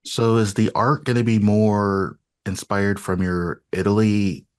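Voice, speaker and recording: A man's voice: fairly low pitch, flat delivery, measured pace, darkish tone, clean tone. A man hosting a podcast, talking casually and loosely with lots of "you know" fillers. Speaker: podcast host. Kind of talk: casual interview chat.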